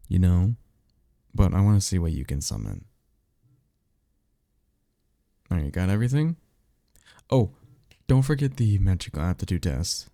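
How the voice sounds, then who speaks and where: A man's voice: deep voice, vocal fry, warm tone, no room sound, clean studio sound. A man playing a cocky, easygoing vampire mentor and former archmage in a slangy, offhand audio roleplay, teasing and swearing casually.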